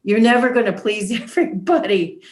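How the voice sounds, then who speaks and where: A woman's voice: medium pitch, animated, normal speed. A middle-aged or older woman telling anecdotes casually and with amusement as a panelist on a video call. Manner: amused, conversational. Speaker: panelist, academic. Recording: roomy echo on video call.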